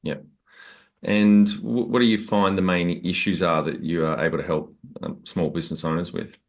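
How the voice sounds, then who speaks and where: A man's voice: low voice, fairly even intonation, moderate pace. A man with an Australian accent hosting a business podcast, upbeat and direct as he asks questions. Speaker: podcast host. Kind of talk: interview host, scripted intro.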